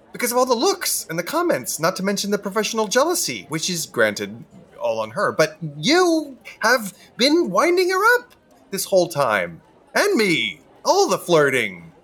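A man's voice: high-pitched, wildly swooping pitch, a little slow, a bit bright, full character voice. An actor playing an exasperated, emotional divorced man, emphatic and theatrically wounded, in comic audio drama. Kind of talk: comedic audio drama dialogue.

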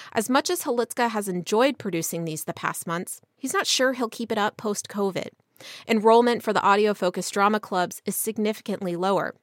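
A woman's voice: mid-range voice, no echo. Woman news reporter, mid-range voice, scripted radio narration, tight dry sound.

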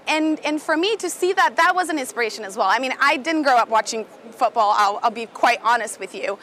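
A woman's voice: high-pitched, fairly bright tone, a little hiss or hum. A young woman from Brazil with a Brazilian accent, reporting live for a news channel in an emotional, earnest way. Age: young adult. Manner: emotional, earnest, with some restarts. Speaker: live correspondent.

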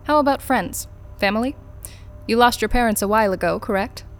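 An actress playing a cool, unflappable corporate interrogator in an audio drama, formal, clipped and detached. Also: female voice, a little high, a little fast, natural voice. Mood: probing, detached. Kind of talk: naturalistic audio drama acting.

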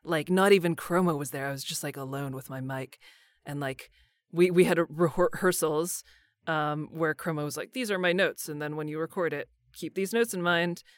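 A woman's voice: low-pitched, normal speed, dry recording, studio quality. A woman chatting informally as a voice actor guest, often peppering sentences with filler phrases. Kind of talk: casual chat.

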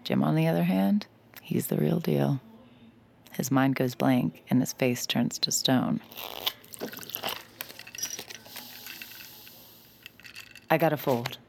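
A woman's voice: extremely low pitch, unhurried, creaky, a little mellow, heavily acted. An actress playing a sly, cocky young woman poker hustler who narrates her con in a wry, confiding way in an audio drama. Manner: wry, confiding, self-assured. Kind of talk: first-person audio drama narration and dialogue.

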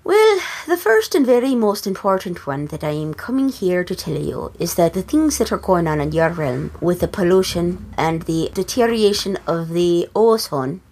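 A woman's voice: fairly low pitch, a little mellow. A woman voicing a chatty, joking male elf with an Irish accent, channeled on a podcast. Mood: earnest. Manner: playful, folksy.

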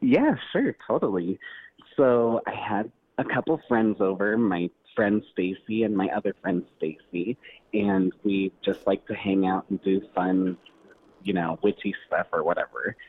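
A man's voice: fairly low pitch, measured pace, husky. A man calling in as a podcast guest over the phone, casually telling a personal story in an easygoing way. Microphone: phone call. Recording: tinny narrowband phone line.

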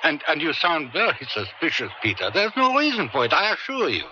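An actor playing a condescending government operative in a 1970s radio drama, urbane, dry and patronising. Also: male voice, on the high side, sing-song, fairly quick, bright, forceful tone, full character voice. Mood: evasive, reassuring. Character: smooth, evasive, condescending male government operative. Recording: muffled 1970s radio recording, faint hiss.

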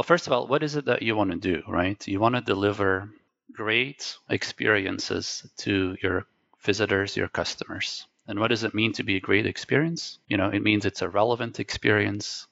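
A man's voice: lowish voice, vocal fry, a bit bright, dry recording, highs cut off. A man from Belgium whose first language is Dutch, explaining ideas step by step and often checking in with a rhetorical "right?". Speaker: tech company guest. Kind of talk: conversational explaining.

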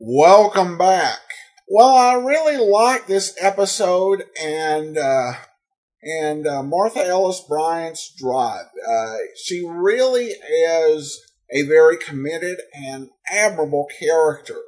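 Man, high voice, podcast host, halting loose commentary with frequent ums. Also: lively intonation, a little mellow. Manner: halting, many ums and broken phrases.

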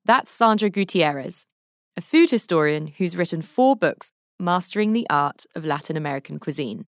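A woman's voice: on the low side, expressive, normal speed, no room sound, clean studio sound. A woman hosting a podcast, reading scripted introductions and narration. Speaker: podcast host.

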